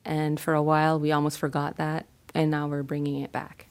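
A woman in her fifties giving interview answers in a thoughtful, halting way, with some fillers. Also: low-pitched, monotone, a little mellow. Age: 50s. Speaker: interviewee, cultural center worker.

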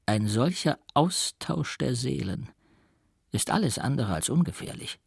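A male reader plays a mysterious occult doctor from a Gothic audiobook tale. He speaks grandly and theatrically, with a gravelly, husky edge. His manner is zealous and faintly scornful, as if every phrase were an incantation. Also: medium pitch, very animated, a little slow, dry recording, studio quality, full character voice. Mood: coolly persuasive. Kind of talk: dramatic audiobook character acting.

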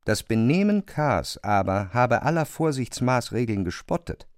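A man's voice: mid-range voice, expressive, measured pace, clean tone, no echo, clean studio sound. A man reading scripted literary narration for a radio play.

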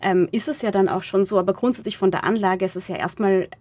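A woman answering interview questions reflectively, haltingly searching for words and correcting herself as she goes. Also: medium pitch, steady tone, darkish tone, dry recording. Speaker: interviewed playwright discussing own audio drama.

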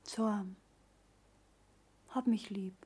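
A tender young lover. Her voice is soft, mellow and breathy, often near a whisper. She speaks slowly and with yearning in an intimate drama. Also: mid-range voice, fairly even intonation, full character voice. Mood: tender, pleading. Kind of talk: intimate naturalistic film drama dialogue.

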